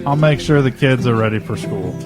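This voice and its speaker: Actor as male lead: relaxed, loving husband, average pitch, music bed. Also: fairly quick, lightly acted. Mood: easygoing. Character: easygoing, affectionate husband and trained fighter. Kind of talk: audio drama acting. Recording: music behind the voice.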